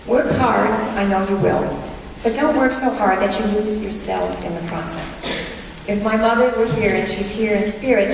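A middle-aged woman giving a formal commencement address in an earnest, measured way with touches of humor, speaking at a podium on an auditorium stage. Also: mid-range voice. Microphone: podium microphone heard from the hall. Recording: distant echoey hall recording with noise.

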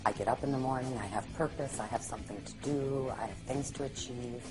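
Middle-aged woman, strikingly deep voice, heartfelt testimonial, music audible under her. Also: brisk, rough recording. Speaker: former nurse giving testimonial. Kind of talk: testimonial to camera. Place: indoors, high-rise room with window view.

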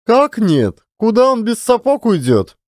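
A man's voice: extremely high pitch, very animated, measured pace, a little mellow, no echo, studio quality, voice pushed up, exaggerated voice. A man voicing an anxious, imperious lady in a hotel, demanding and abrupt, in an audiobook. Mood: alarmed, demanding. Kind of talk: dramatised audiobook.

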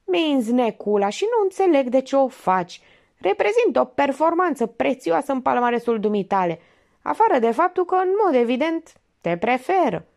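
A sarcastic older lady detective pokes at a suspect with pointed, mocking jabs, voiced by a woman reading a storybook. Her voice sits high and swings widely in pitch. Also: big pitch swings, creaky, a little mellow, exaggerated voice. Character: sharp sarcastic older female amateur detective.

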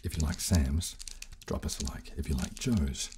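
A man in his thirties hosting casual ASMR, giving gentle, dryly playful instructions close to a studio microphone. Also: very deep voice, lively intonation, moderate pace, background noise. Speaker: host of an ASMR channel. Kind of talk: hushed ASMR, casual demonstration. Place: small furnished indoor room, seated at a table. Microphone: close studio condenser microphone on the table.

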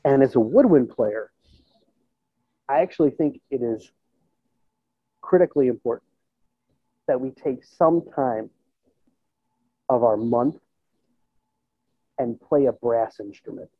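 Husky man on a tinny call. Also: medium pitch, takes it slowly, long pauses, dry recording. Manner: conversational, thinking aloud, checks in with "you know" and "right?". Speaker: musician and school band teacher being interviewed. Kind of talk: casual reflective interview talk. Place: indoors in a plain room on a video call. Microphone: earbud headset. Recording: tinny, band-limited video call audio.

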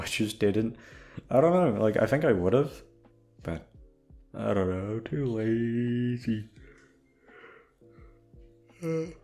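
Young male, lowish pitch, off-the-cuff sweary ramble to an audience, hesitant and self-deprecating, light music underneath. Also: dark tone. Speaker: audio roleplay voice actor. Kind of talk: rambling casual chat to listeners.

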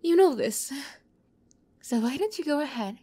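A woman playing an obsessive young yandere captor in an ASMR roleplay, close to the mic, moving between soft whispers and giddy, high outbursts. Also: expressive, measured pace. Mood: manic, coaxing.

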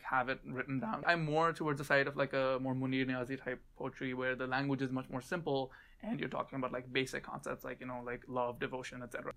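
Young Pakistani-accented man, student, relaxed chatty delivery full of fillers, seated on camera in a small dark studio. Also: medium pitch. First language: Urdu. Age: young adult. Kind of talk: casual personal interview.

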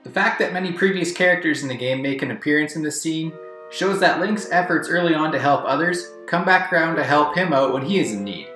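A man's voice: on the high side, a little fast, pushed and bright. A man reading scripted countdown commentary as a video narrator. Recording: roomy echo, music bed. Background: background music.

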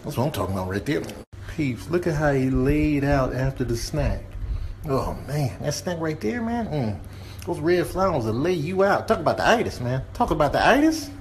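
A man's voice: on the high side, very animated, moderate pace, slight background noise. A man voicing a laid-back animal, murmuring casually and contentedly in a comic animal voice-over. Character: laid-back animal savouring a flower snack.

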